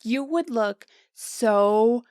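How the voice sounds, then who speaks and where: A woman's voice: fairly high pitch, animated, drawn-out words, a little mellow, clean tone, dry recording, clean studio sound. A woman playing a confident, pushy young beauty influencer with a gushing, theatrical manner in a modern comedic audio drama. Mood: gushing, flattering.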